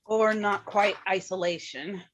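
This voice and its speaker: A woman's voice: medium pitch, fairly even intonation, a little slow, a little hiss or hum, close to an everyday voice. A woman acting a dutiful, worried mother in a staged reading of a play. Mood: wry, dutiful.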